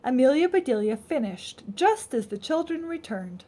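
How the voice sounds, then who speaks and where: A woman narrates a children's storybook with a high, very expressive voice that rises and falls freely. There is a slightly husky edge to it, and her manner is teacherly and explanatory. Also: moderate pace. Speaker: storybook reader.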